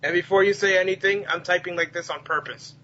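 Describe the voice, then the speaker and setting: A man's voice: high-pitched, steady tone, quick pace, pushed and bright. A man co-hosting a casual podcast, defensive and snarky, swearing and stammering over his repeats. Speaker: podcast co-host. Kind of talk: casual banter. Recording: muffled noisy internet call recording.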